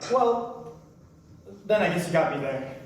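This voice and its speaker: A man's voice: on the high side, animated, measured pace. A man acting an enigmatic, teasing stranger at a diner in a staged play reading, evasive and probing. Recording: very echoey room, distant mic, noise.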